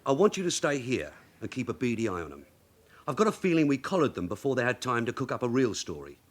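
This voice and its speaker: An actor playing a shrewd veteran detective sergeant in a radio drama, husky, brisk and matter-of-fact. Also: male voice, medium pitch, very animated. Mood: firm, businesslike. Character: shrewd veteran London police detective sergeant, calm and commanding.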